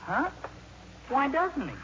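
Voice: sits high, big pitch swings, slow pace, full character voice. A performer playing an eager, awkward, lovestruck teenage boy with a blunt gee-whiz manner in a 1950s radio drama. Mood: curious, awkward. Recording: muffled, noisy 1950s radio recording.

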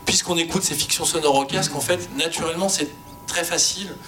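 A man's voice: steady tone, brisk, sharp tone, faint music behind. A man talking informally on an expert panel, explaining how an audio fiction was produced. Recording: background noise with low rumble.

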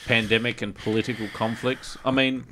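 An Australian man hosting a gaming podcast, chatting casually and haltingly with plenty of swearing and colloquial turns. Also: medium pitch, level tone, a bit bright, slight background noise. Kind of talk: casual chat.

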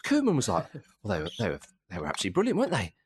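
A man hosting a football podcast, chatting and telling stories in a jokey, animated way. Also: mid-range voice, rapid-fire, a little hiss or hum. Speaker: podcast host.

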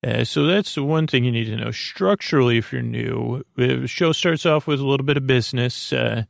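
A man with a creaky, gravelly voice hosts a sleep podcast, giving a soothing, meandering bedtime monologue with hesitant, repeating, lulling speech close to the microphone. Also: medium pitch, dry recording. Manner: meandering, hesitant, repeats and stumbles over words; lulling, gentle, drawn-out delivery.

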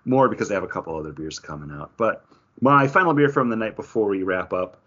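A man's voice: fairly low pitch, expressive, fast talker, a bit bright. A man co-hosting a podcast, chatting casually about things in a rambling, conversational way. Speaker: podcast co-host. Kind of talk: casual chat, craft beer review. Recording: dull, lo-fi audio.

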